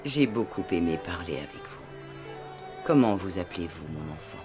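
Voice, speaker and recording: A woman playing an elderly, gentle aristocratic grandmother in a cartoon, speaking in a refined, wistful way. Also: rumbling low, lively intonation, normal speed. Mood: tender. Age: older. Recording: background music under dialogue.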